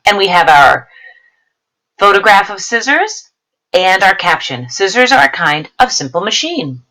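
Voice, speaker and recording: A woman teacher reading a science lesson aloud, adding explanatory asides and stressing key terms in a deliberate, instructive way. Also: mid-range voice, big pitch swings, sharp tone, poor audio.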